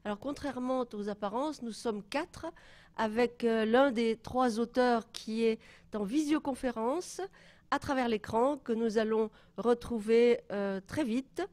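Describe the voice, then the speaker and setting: A woman's voice: fairly high pitch, unhurried, darkish tone. Formal woman moderating a talk. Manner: formal, hesitant fillers.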